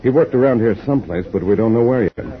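A man's voice: mid-range voice, lively intonation, fast, lightly acted. An actor playing a matter-of-fact male police officer at a crime scene in a 1950s radio drama. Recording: muffled 1950 radio broadcast.

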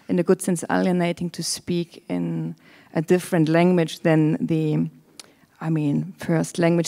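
Creaky, mellow German-accented woman presenting. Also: lowish voice. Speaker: curator and theorist, panel speaker. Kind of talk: academic presentation, partly read from script. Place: auditorium stage with audience. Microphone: handheld microphone.

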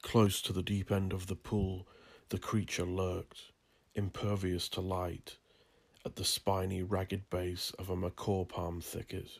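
Slow, gravelly man narrating dark horror. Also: deep voice, monotone. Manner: slow, brooding, deliberate delivery.

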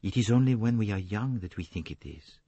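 A man voicing a gentle older male detective, measured and formal, in an audiobook novel. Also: lowish voice, animated, slightly rough, dry recording, highs cut off. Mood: gentle, grave.

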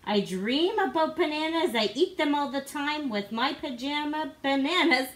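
High-voiced woman with swooping storybook delivery. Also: lively intonation, normal speed. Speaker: guest co-reader. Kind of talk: expressive storybook read-aloud for young children. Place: living room indoors. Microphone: device camera a short distance away.

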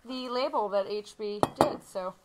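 A woman's voice: mid-range voice, a little slow, heavy background noise. A woman co-hosting a winery tasting, enthusiastic and laughing along. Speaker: co-host. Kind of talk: casual chat. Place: winery tasting room, indoors. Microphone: tabletop mic on stand.

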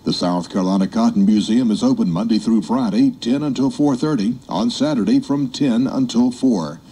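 A man's voice: mid-range voice, moderate pace, warm tone, slightly rough. A man reading scripted announcements as an oldies radio station announcer. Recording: FM radio aircheck, music underneath. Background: music.

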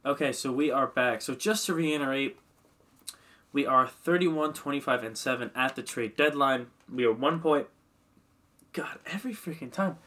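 A bright, forceful young man with a slightly stuffy, congested voice, chatting loosely at a desk microphone. He rambles, laughs at himself and swears now and then while clicking through menus, with keyboard typing faintly behind him. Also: medium pitch. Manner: rambling, self-mocking, swears. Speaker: gaming commentator. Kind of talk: casual game commentary. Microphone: desk mic.

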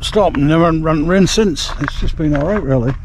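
A man's voice: a little high, normal speed, noisy background. A middle-aged man gives a rambling, casual fishing update to camera, outdoors at night. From England. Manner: rambling, chatty, with many fillers. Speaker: fishing vlogger.

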